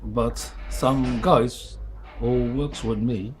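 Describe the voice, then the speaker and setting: A man's voice: medium pitch, fairly even intonation, extremely slow, soft background music. A man whose first language is Arabic, speaking in English with many fillers and restarts, talking casually about a tool in a workshop. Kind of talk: casual product review vlog. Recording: noisy background.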